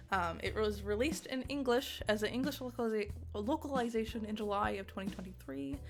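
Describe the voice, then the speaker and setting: A woman giving a casual, chatty game review, giggly and excitable, with rambling asides. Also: medium pitch. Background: music.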